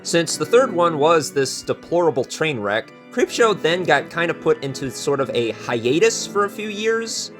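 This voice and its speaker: A man introduces a video casually, chatty and opinionated. His voice is a little high and moves animatedly up and down, with music clearly heard behind it. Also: very animated, normal speed, few pauses, fairly bright tone. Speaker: video creator and presenter.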